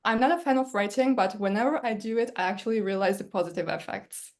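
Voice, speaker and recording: A woman whose first language is Turkish, chatting casually as a guest about learning languages, easygoing and quick to laugh. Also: mid-range voice, darkish tone. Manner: easygoing, laughs often, filler "kinda". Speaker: polyglot guest. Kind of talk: casual conversation.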